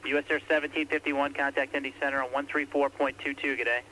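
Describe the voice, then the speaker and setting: A man's voice: mid-range voice, flat delivery, quick pace. A man working as an air traffic controller, calling aircraft in terse, clipped radio phrasing. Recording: archival radio recording with faint hiss.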